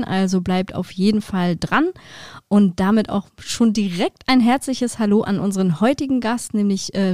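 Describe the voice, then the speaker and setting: A woman's voice: medium pitch, no room sound. Upbeat woman hosting a podcast, dry sound. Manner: upbeat, lively welcoming tone. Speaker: podcast host.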